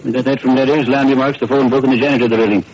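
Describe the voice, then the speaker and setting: Fast-talking police sidekick played by a man. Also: medium pitch, flat delivery, a little mellow, highs cut off, natural voice. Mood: confident, matter-of-fact. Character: eager, plain-spoken male police sidekick. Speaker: detective's partner. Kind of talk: old-time radio detective drama acting.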